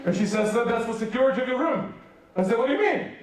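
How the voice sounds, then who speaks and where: A man with a Serbian accent tells comic stories in a sermon, acting out every exchange. His voice sits fairly high, and it is picked up from a distance in a big hall full of echo. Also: quick pace. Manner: animated comic storytelling, acts out dialogue. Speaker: preacher. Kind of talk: humorous sermon storytelling. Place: large hall with a youth audience. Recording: echoey hall, voice from far off.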